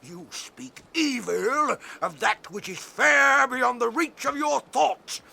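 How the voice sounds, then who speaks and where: An actor playing a proud, gruff dwarf warrior in a radio fantasy drama, speaking in a deliberate, declamatory way with a raspy voice. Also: male voice, very high-pitched, sharp tone, heavily acted. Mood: proud, offended. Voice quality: gravelly, raspy.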